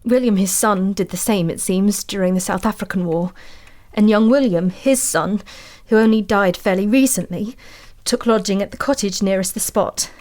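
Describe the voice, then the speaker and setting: An actress playing an anxious, haunted woman antiquarian in an audio drama, telling her tale in a measured, confiding way. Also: mid-range voice, a little mellow, natural voice. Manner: measured, confiding, uneasy storytelling.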